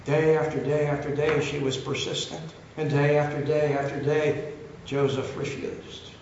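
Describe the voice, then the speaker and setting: Older male preacher, fairly high voice, rough throat, very echoey church, dull sound. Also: little pitch movement, normal speed, a little mellow, a little hiss or hum. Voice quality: coughing, cough drop in mouth. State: losing his voice, throat trouble. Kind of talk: sermon storytelling.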